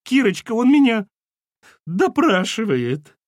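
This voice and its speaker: A man's voice: medium pitch, very animated, moderate pace, a little mellow, husky, no echo, studio quality. A man voicing a flustered older housekeeper woman, self-pitying, lamenting and breaking off in sobs, in an audiobook. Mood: wailing. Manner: sobbing, lamenting, breaking off mid-sentence.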